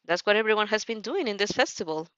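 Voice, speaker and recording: A woman voicing a gentle, downcast teenage boy student in a story, soft-spoken and polite. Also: medium pitch, lively intonation, fast, clean tone, dry recording, dull audio, natural voice.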